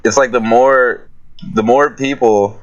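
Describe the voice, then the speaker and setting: A man's voice: mid-range voice, fairly even intonation, slow pace, muffled recording, crowd noise. A man talking informally and jokingly as a guest in a casual group Q&A. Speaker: guest musician on panel.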